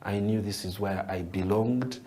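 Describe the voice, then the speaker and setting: A young Ugandan man, a poet, answering interview questions with animated storytelling and frequent restarts. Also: lowish voice, moderate pace. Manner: animated storyteller, repeats words and restarts phrases. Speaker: poet and writer, interview guest.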